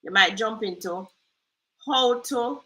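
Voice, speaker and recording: A woman's voice: fairly high pitch, slow, pushed and bright. A Jamaican woman hosting a casual screen-share tutorial, chatty and loose, often asking "right?". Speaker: host.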